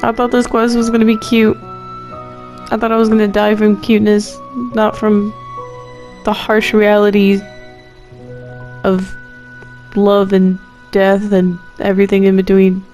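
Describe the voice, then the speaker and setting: A woman's voice: medium pitch, monotone, unhurried, long pauses, darkish tone, faint music behind. A woman streaming a game, reacting casually with slangy, playful and dramatic asides.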